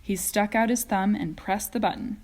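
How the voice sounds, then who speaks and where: A woman's voice: mid-range voice, fairly even intonation, moderate pace, a little mellow. A woman teacher narrating a children's story expressively, in read-aloud style.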